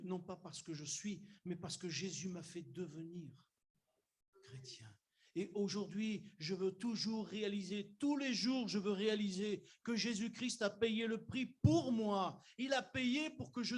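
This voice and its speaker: Older male pastor, high voice, conversational sermon delivery, bursts of emphasis. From Algeria. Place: church.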